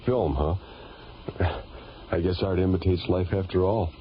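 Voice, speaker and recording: A man's voice: sits low, unhurried. A wisecracking crewman. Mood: joking. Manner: joking, offhand. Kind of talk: 1970s radio drama acting. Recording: dull old radio recording with music.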